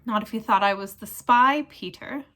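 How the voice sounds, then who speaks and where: A woman voicing a calm, grim man who speaks in a casual, measured way in a storybook reading. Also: medium pitch, close to an everyday voice. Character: calm, grim man, loyal old friend.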